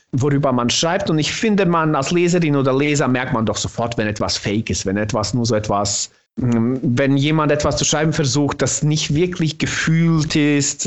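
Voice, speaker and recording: A man with a Bosnian accent, native in Bosnian, talking thoughtfully about his own novel and pausing often to find the right words. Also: fairly high pitch. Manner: thoughtful, searching for words with restarts.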